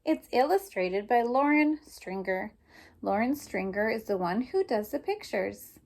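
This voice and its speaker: A woman's voice: fairly high pitch, very animated, unhurried, creaky. A woman teacher reading a picture book aloud to young children, explaining simply and prompting them with questions.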